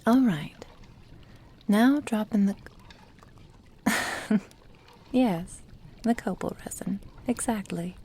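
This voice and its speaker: A woman playing a doting, possessive immortal witch in an ASMR roleplay, murmuring tenderly and intimately in a breathy whisper to her apprentice. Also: medium pitch, dark tone. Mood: gently encouraging. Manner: slow, tender, intimate delivery.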